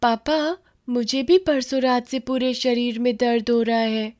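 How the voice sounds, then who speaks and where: A woman's voice: on the high side, steady tone, unhurried, a little mellow, recorded from a distance, pitched above the performer's own voice, lightly acted. A woman voicing a frightened little girl, plaintive and pleading, in a horror cartoon story. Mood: unwell. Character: frightened little girl calling her papa. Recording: music behind.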